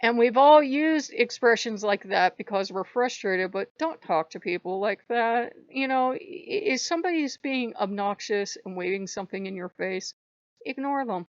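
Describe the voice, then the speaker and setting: A slightly hoarse woman hosting her own channel, talking in an earnest, rambling way and repeating phrases for emphasis. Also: a little high, animated, moderate pace, no echo, muffled recording. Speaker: channel host. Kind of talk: casual spiritual vlog monologue.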